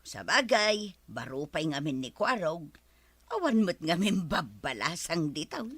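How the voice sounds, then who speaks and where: A woman's voice: deep voice, sing-song, measured pace, a bit bright, husky, no echo, exaggerated voice. An actress with an Ilocano accent playing a curious, chatty village woman in a radio comedy drama. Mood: curious, gossipy.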